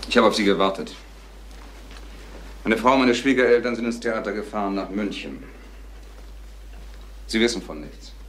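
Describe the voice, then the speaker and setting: Actor as low-voiced, insistent stern businessman. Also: fairly bright tone, lightly acted. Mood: grave, probing. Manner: deliberate, measured, pressing. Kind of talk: German crime radio play acting. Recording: noisy old radio play recording.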